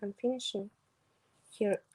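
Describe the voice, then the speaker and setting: A young woman with a Kazakh accent speaks English haltingly on a video call. Her delivery is slow, full of fillers and restarts, at a middle pitch. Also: steady tone, darkish tone, slight background noise. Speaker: translator presenting a project. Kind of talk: hesitant demo presentation on a video call.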